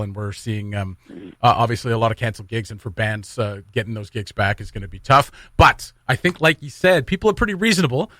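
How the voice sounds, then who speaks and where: A man's voice: lowish voice, a bit bright, no echo, clean studio sound. A man hosting a casual radio show in an upbeat, chatty way. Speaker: radio morning show host. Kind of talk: casual radio chat and interview. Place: radio studio.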